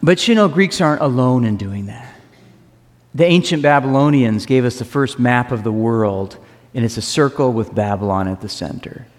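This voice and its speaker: Guy preaching a sermon, earnest, leans hard on key words, mid pitch, echoey hall. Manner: earnest, emphatic preaching with slow stressed phrases. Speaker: pastor. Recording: reverberant hall.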